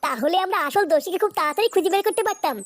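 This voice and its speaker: Man as a high-voiced, decisive village club leader. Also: animated, racing, fairly bright tone, dry recording. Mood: earnest. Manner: earnest, explanatory. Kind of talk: comic cartoon dubbing.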